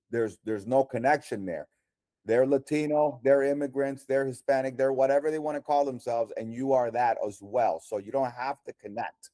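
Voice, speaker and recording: Colombian man with a mellow, dry voice. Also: medium pitch, dry recording. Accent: Colombian Spanish. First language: Spanish. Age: 40s. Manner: confident, practical, animated advice. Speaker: guest comedian. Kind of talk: casual livestream chat.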